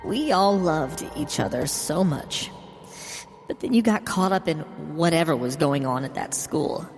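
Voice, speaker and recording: A man voicing a bitter, grieving old mother in a horror story, wounded and resentful, confessing haltingly. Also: a little high, lively intonation, husky, pitched above the performer's own voice, heavily acted. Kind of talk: dramatic horror story reading. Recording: long hall reverb. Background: music.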